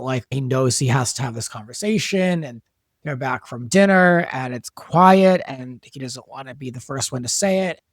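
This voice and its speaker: A man's voice: mid-range voice, dry recording, studio quality. A man talking casually as a writer and director, discussing a drama production. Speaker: podcast guest, audio soap writer and director.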